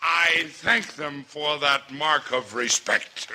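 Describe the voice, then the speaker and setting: An actor playing a jovial, hard-drinking older frontier doctor, theatrical and grandiloquent, in a radio western. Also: male voice, a little high, slow. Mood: jovial pomposity. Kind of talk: old-time radio western acting. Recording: noisy old radio recording.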